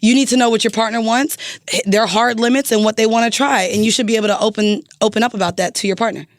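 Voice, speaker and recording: A woman's voice: a little high, steady tone, fast, no echo, studio quality. An American woman talking casually as a podcast guest, frank and emphatic, swearing freely and repeating herself to press a point.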